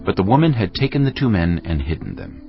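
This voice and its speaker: A man narrating scripture from a script in a measured, dramatic storytelling manner. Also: low-pitched, sing-song, vocal fry. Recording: slightly dull audio over music. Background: music.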